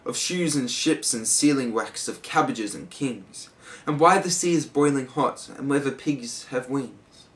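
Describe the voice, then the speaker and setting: Man playing storybook walrus, pompous, grand, smooth-talking, falsely sympathetic, slightly off-mic. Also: medium pitch, unhurried, a bit bright, reverberant, distant mic, pitched above the performer's own voice, close to an everyday voice. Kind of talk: storybook poem recital.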